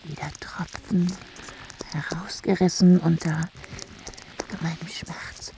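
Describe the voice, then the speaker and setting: A woman's voice: fairly low pitch, fairly even intonation, takes it slowly, creaky, mellow. An actress playing a bitter, vengeful jilted woman in agony in a radio drama, sometimes sinking into a whisper. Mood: pained, bitter. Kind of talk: literary radio drama acting, partly whispered.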